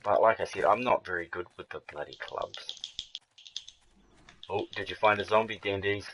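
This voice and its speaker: Deep-voiced swearing man streaming a game. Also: normal speed, a bit bright, a little hiss or hum. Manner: swears freely, laughs often, rambling jokey asides. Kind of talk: live gameplay commentary.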